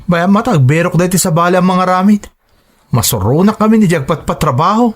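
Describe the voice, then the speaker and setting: A man's voice: high voice, a little fast. A man playing a proud, stubborn working husband who grumbles defensively, in an audio drama. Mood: grumbling. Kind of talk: serial audio drama acting.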